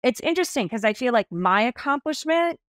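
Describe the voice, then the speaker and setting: Animated fifty-something woman on a dry video call. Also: a little high, a little fast, no room sound. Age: 50s. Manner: animated, enthusiastic storytelling with expressive hand gestures. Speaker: guest stylist. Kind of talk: podcast interview over video call. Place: small indoor room.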